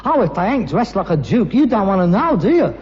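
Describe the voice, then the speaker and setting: An aspiring London junk dealer with a very animated, emphatic delivery. He argues scornfully and slips into mock-genteel airs in British sitcom style. The old recording is muffled and reverberant. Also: high voice, fairly quick. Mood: indignant. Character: argumentative, gullible, aspiring rag-and-bone man, the son. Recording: muffled, noisy, echoey old broadcast recording.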